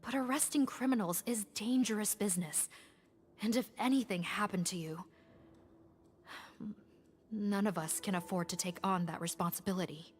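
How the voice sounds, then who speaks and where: A woman's voice: medium pitch, normal speed, long pauses, a little hiss or hum, natural voice. Woman as a businesslike, dutiful officer. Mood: firm, dutiful. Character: dutiful, serious female law officer. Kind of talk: video game voice acting.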